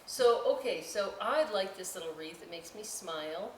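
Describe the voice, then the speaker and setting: A woman hosting a children's storytime, reading aloud and explaining crafts with folksy, chatty, expressive asides to young listeners. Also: mid-range voice, normal speed. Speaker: library storytime host. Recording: roomy echo, distant mic, faint noise.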